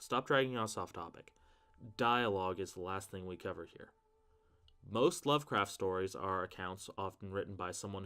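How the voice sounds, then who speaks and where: A man's voice: on the low side, a bit bright. A man giving casual literary commentary as a video host, with a wry, self-deprecating manner and mildly sweary asides. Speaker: video host reviewing horror fiction.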